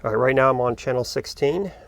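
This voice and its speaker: A man's voice: mid-range voice, fast talker, vocal fry. A man talking casually to camera as the host of a boating video. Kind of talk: casual vlog talk to camera. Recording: noisy outdoor background sound.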